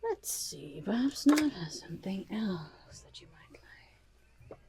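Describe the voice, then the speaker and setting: A woman's voice: medium pitch, very animated, slow pace, a crowd in the background, heavily acted. A woman voicing an eccentric, shifty goblin market trader in a whispered ASMR roleplay, chatty and rambling with a sly salesperson's manner. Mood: sly, salesy. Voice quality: breathy whisper with creaky murmurs. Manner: sly, rambling, muttering salesperson, refers to self by name.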